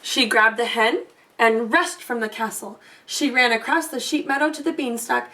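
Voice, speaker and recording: A woman reading a storybook aloud expressively for children, pausing to put questions to listeners. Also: fairly high pitch, bright, forceful tone, far from the mic. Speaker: storybook reader.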